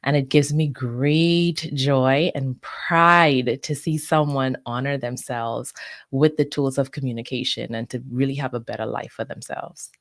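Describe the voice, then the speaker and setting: Very deep-voiced woman from the Bahamas. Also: fairly even intonation, a little slow, no room sound, studio quality. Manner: earnest, reflective and articulate. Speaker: communications advisor, guest. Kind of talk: interview answers.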